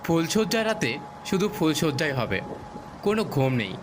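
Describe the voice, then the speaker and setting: A man's voice: a little high, very animated, normal speed, noisy background. A man playing a pushy, coaxing newlywed husband in a romantic audio drama. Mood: insistent, commanding. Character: domineering, insistent young newlywed husband. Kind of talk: romantic audio story dialogue. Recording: music behind the voice.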